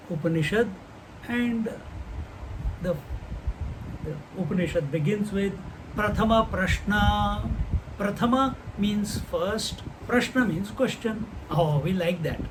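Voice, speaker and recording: An older man gives a scripture class in a fairly high voice, asking his listeners question after question. A faint hiss of noise sits under his voice. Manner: unhurried, posing rhetorical questions; chants Sanskrit verses slowly. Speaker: spiritual teacher.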